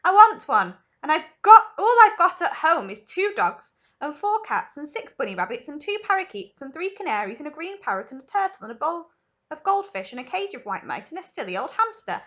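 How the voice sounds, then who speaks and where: A woman voicing a spoiled, demanding little girl in a storybook reading, shrill and whiny. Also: animated, moderate pace, few pauses, sharp tone, dull audio.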